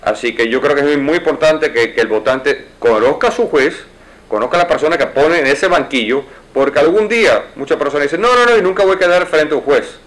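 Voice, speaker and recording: A man's voice: a little high, animated, a little fast, a bit bright. A man in his forties, a lawyer, giving fluent, explanatory answers in a seated interview in an office. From United States. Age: 40s. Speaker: lawyer and judicial candidate. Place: wood-paneled office, indoors.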